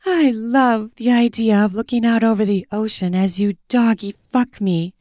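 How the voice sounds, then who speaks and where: A woman voicing a breathless, playful older woman who speaks in a high, gasping, breathy way. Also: normal speed, mellow, no echo, clean studio sound, natural voice.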